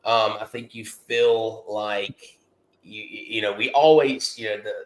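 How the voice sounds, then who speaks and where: A man's voice: fairly low pitch, fairly even intonation, slow pace, echoey room, low-quality recording. A man co-hosting a casual business podcast, talking in a nasal, stuffed-up voice with lots of fillers and restarts. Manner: rambling with many fillers and restarts. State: congested, nasal from a cold. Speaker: podcast co-host. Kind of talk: casual chat.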